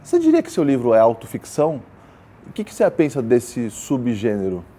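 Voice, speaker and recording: Brazilian male host, soft-spoken interviewer, warm mellow tone. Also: medium pitch, huge pitch swings, brisk.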